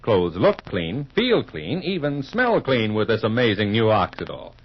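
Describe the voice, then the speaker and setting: A man announcing on 1950s radio, reading scripted pitches and narration in an emphatic, folksy, polished way. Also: wildly swooping pitch, unhurried, husky. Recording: muffled vintage radio recording.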